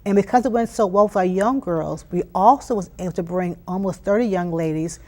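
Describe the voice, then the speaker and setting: A woman's voice: on the low side, a little fast, darkish tone. An American woman giving conversational interview answers as a program leader, earnest and emphatic, often repeating phrases for weight. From Philadelphia, United States. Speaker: interview guest, youth STEM program leader.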